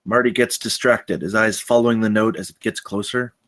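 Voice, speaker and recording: A man's voice: lowish voice, steady tone, fairly quick, a bit bright. A man reading stage directions as scripted narration at a film table read.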